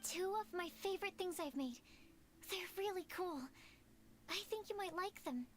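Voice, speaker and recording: A woman voicing a shy, earnest and quirky young female alchemist in a video game, with a soft girlish voice. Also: very high-pitched, normal speed, a little hiss or hum. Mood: shyly proud. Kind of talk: animated video game voice acting.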